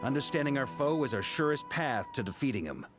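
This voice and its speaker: Man playing an animated, easygoing veteran knight. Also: mid-range voice, fairly quick, music in the background, natural voice. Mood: thoughtful. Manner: breezy, theatrical, musing. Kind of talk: video game voice acting.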